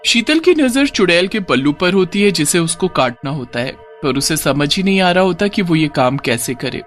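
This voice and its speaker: A male storyteller delivers scripted, dramatic narration in a fairly high voice. His pitch moves widely from phrase to phrase, and music plays underneath. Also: very animated, normal speed, fairly bright tone.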